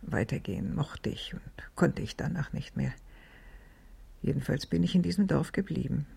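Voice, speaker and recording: An older actress playing a reflective, kindly old village schoolteacher in a German radio drama, with a gravelly, husky voice. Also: female voice, low-pitched, expressive, moderate pace, dark tone, full character voice. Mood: sorrowful, reflective.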